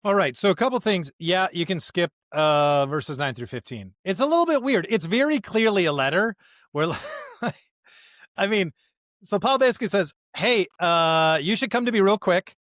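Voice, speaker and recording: A man co-hosting a podcast, casually discussing scripture in an informal, animated way with everyday slang and playful paraphrase. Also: high voice, moderate pace, dry recording, clean studio sound. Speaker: podcast co-host.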